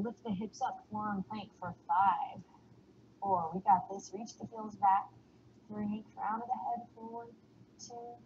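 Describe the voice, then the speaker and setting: A woman with a slight Texan accent guiding a yoga class, giving calm, soothing cues and breathing the exhales out in whispers. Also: medium pitch, lo-fi sound, a little hiss or hum. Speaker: yoga instructor.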